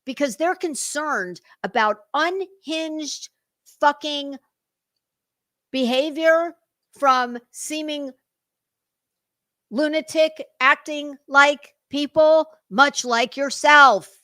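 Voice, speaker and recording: Bright, high-pitched sarcastic woman. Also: drawn-out words, no echo. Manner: sarcastic, mocking, foul-mouthed. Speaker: livestream commentary host. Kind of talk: casual reaction commentary over a played clip.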